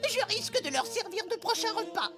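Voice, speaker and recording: A woman's voice: extremely high pitch, animated, fairly quick, music in the background. A woman voicing a small, cheeky, bossy flying jungle creature in a cartoon dub, teasing and dramatic. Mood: conspiratorial.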